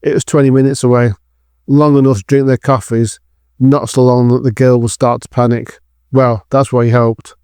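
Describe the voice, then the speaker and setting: A man's voice: mid-range voice, flat delivery, moderate pace, a little mellow, clean tone, no room sound, studio quality. A man reading scripted narration for an audio drama as its narrator.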